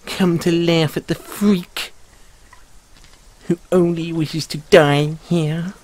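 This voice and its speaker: A man voicing a bitter, tormented frog mascot in an audio roleplay, with a halting, tearful, self-pitying delivery. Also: on the high side, fairly even intonation, darkish tone. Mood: bitter, accusing. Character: weepy, bitter, tormented frog mascot haunting an abandoned amusement park. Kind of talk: audio roleplay monologue with mournful sung counting rhyme.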